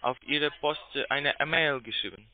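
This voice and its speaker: A man with a foreign accent speaking haltingly, like a call-centre agent making a sales pitch. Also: a crowd in the background. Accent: foreign, non-German. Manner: halting, non-native phrasing. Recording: tinny narrow-band phone line.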